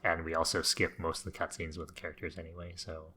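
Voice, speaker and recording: A man's voice: sits low, monotone, fast talker, bright, forceful tone. A man co-hosting a podcast, chatting casually and bantering about video games. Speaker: podcast co-host.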